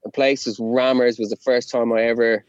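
A man's voice: mid-range voice, flat delivery, moderate pace, no echo. Chatty man, dull dry sound. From Connemara, Ireland. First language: Irish. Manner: rambling, self-deprecating, chatty with many fillers. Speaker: rapper, interview guest. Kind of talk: casual storytelling.

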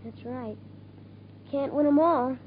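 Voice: sits high, takes it slowly. A performer playing an uneasy school-age boy, terse and questioning, in a 1950s radio drama. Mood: sullen. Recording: muffled, lightly hissy 1950s radio recording.

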